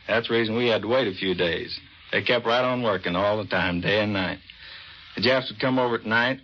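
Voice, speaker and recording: An American man, a navy commander, giving a plain, matter-of-fact scripted interview on 1940s radio. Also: lowish voice. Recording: dull vintage 1940s radio recording.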